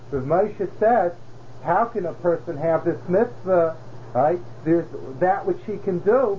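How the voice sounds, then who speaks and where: A man's voice: high voice, slight background noise. A man teaching a religious class, explaining patiently and checking in with rhetorical questions. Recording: dull lo-fi class recording.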